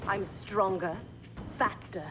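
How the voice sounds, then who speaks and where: A woman playing a bloodthirsty, feral cat-cursed villainess in a video game, taunting slowly and savoring her menace. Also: mid-range voice, fairly even intonation, natural voice. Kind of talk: video game cutscene acting. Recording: loud music underneath.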